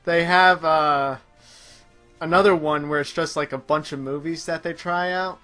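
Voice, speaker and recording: A man's voice: fairly high pitch, steady tone, normal speed, pushed and bright, faint music behind. A man hosting a gaming show, chatting casually and commenting live as he plays. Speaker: gaming show host playing a video game. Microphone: microphone on a group internet voice call.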